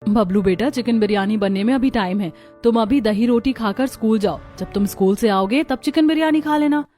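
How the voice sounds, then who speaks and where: High-voiced village housewife over music, acted by a woman. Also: a little fast, a little mellow, close to an everyday voice. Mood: homely, caring. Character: village women of a family, daughters-in-law and mother-in-law. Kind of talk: Hindi cartoon story dialogue.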